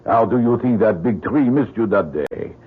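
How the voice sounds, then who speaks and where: Through a thin, phone-like old radio broadcast comes a French lumberjack sergeant. His voice is husky and swoops expressively as he talks in folksy broken English, excitable and full of superstition. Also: on the low side, normal speed. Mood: concerned, earnest. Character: excitable French-accented logging camp sergeant nicknamed Frenchie. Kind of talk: old-time radio drama acting.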